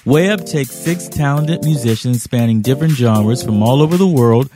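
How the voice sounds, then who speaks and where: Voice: medium pitch, normal speed, music in the background. A podcast host introducing the episode and interviewing a guest, often affirming with mm-hmms. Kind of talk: interview hosting.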